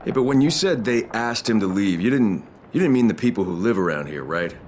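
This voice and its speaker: An actor playing a persistent outsider hero in a video game, casual and direct, pressing with questions. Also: male voice, fairly low pitch, animated, fairly quick, a little mellow, a little hiss or hum, close to an everyday voice. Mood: questioning, insistent. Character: gruff, persistent male city outsider hero. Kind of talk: video game cutscene acting.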